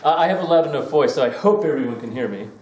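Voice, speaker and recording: A man's voice: mid-range voice, expressive, fast, a little mellow, slight background noise. A man with an Australian accent giving a joking, chatty public lecture, with many fillers. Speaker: guest lecturer. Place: lecture hall. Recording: echoey hall, mic from far off.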